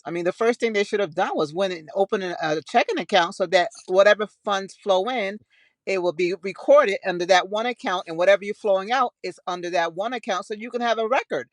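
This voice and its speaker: A woman's voice: mid-range voice, brisk, no room sound. A woman co-hosting a podcast in casual discussion, plain-spoken and questioning. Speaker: podcast co-host.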